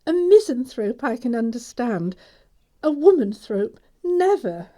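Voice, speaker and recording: A woman's voice: on the high side, big pitch swings, measured pace, warm tone. A woman playing a prim middle-aged governess in an audio stage comedy, pompous and sententious, with coy amorous hints. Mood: coy, lecturing.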